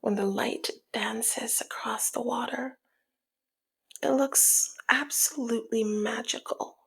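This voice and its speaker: Woman acting a breathy, slow-talking flirty mob boss. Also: fairly high pitch, fairly even intonation, a bit bright, exaggerated voice. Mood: flirty, playful. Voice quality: breathy, husky, often slipping into near-whisper. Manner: slow, sultry, playful taunting. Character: flirty, teasing, dominant female mob boss. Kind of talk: ASMR roleplay, intimate one-sided dialogue.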